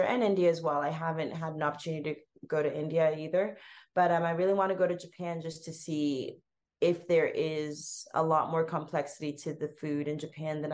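A woman with a Canadian accent, a food blogger chatting as an interview guest, rambling with ums. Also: low-pitched. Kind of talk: casual interview chat.

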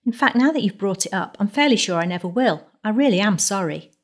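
A gentle young man in a romance audiobook, read by a woman, earnest and apologetic. He is a reluctant boyfriend, pitched like the narration around him. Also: lively intonation, fast, natural voice.

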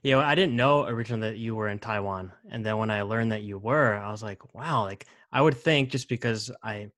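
A man's voice: fairly low pitch, fairly quick, fairly bright tone, dry recording, studio quality. A man hosting a podcast, talking in a friendly, chatty way with many fillers. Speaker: podcast host. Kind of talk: casual interview chat.

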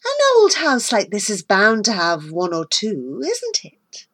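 A woman's voice: mid-range voice, huge pitch swings, unhurried, exaggerated voice. A woman reading aloud and voicing a harsh, bossy woman who snaps and threatens. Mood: menacing. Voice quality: sharp, snapping, menacing.

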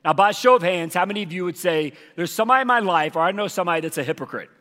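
A man preaching as lead pastor, hoarse from losing his voice, talking casually with many fillers. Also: sits high, racing, a bit bright, big-room sound. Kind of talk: sermon with announcements. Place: church auditorium.

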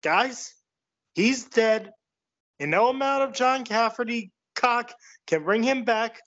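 A man playing a shady, brazen wrestling doctor in a comedic audio play, wordy and deadpan. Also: very high voice, slow pace, highs cut off, full character voice. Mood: blunt, unbothered.

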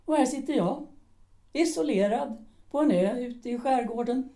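A woman's voice: on the high side, animated, warm tone. A woman playing an embittered former actress, a cutting and reproachful middle-aged wife in an audio drama. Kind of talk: Swedish audio drama acting.

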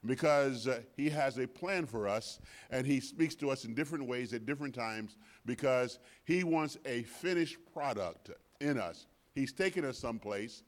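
A man in his sixties with a deep voice, preaching as a guest pastor in church with emphatic repetition that swings from soft to loud. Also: expressive, normal speed, hardly any pauses. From United States. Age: 60s. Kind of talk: sermon. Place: church sanctuary.

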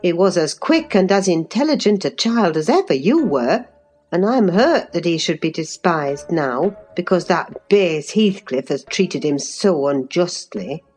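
A woman reading aloud, voicing an earnest older housekeeper who gently scolds in a lower, measured voice. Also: animated, breathy edge, soft background music. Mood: earnest reproof.

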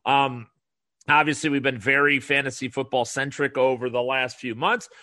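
A man, hosting his solo podcast in a loose, rambling monologue with frequent restarts and sales pitches. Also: fairly even intonation, normal speed, pushed and bright, no room sound, studio quality. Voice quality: nasal. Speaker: podcast host.

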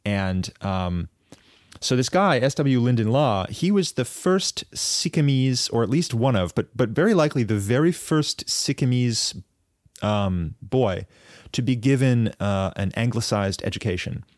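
A man on a podcast explaining a piece of history at length in a casual, thoughtful way, often pausing and restarting his sentences. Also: mid-range voice, animated, no room sound, studio quality. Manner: thoughtful, halting, many fillers and restarts. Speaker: podcast co-host.

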